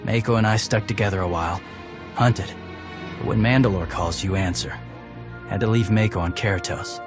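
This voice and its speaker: A man's voice: fairly low pitch, steady tone, moderate pace, lightly acted. A man playing a loyal, laconic mercenary warrior in a video game, with terse, understated delivery. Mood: terse, grounded. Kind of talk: video game cutscene acting. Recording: voice over background music.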